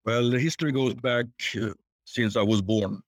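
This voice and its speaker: An older man, answering interview questions in a reflective, halting, conversational way. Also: mid-range voice, no echo, clean studio sound. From born in Tanzania, grew up there and in Sweden. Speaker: podcast guest.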